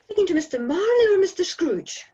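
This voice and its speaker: Woman acting very high-voiced earnest young charity collector. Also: sing-song, brisk, dark tone, lo-fi sound. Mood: polite, earnest. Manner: hesitant, pleading. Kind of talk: radio drama acting.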